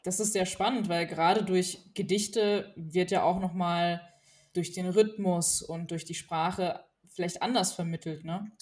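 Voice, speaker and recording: A woman's voice: fairly low pitch, steady tone, a little slow, a little mellow. A woman hosting a podcast interview in a casual, conversational style. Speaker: podcast host.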